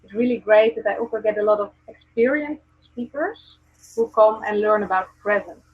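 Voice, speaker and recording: A Dutch-accented woman speaks earnestly as a guest, pausing on ums and restarting her sentences. Her voice is a little husky. The audio is thin and phone-like, as from a video call. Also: medium pitch. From Netherlands. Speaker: guest, presence coach. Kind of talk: casual video call chat.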